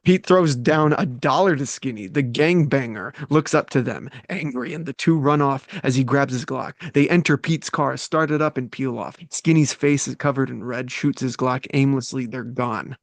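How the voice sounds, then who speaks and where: A man's voice: medium pitch, normal speed, gravelly, hardly any pauses, dry recording. Male narrator for a script read, medium register, delivering stage directions in a dry space.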